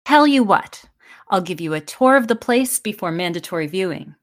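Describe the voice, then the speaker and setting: A woman's voice: mid-range voice, expressive, moderate pace, lightly acted. A woman playing a tough, cheerful teenage orphan girl with breezy bravado in an audio drama. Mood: friendly. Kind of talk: audio fiction acting.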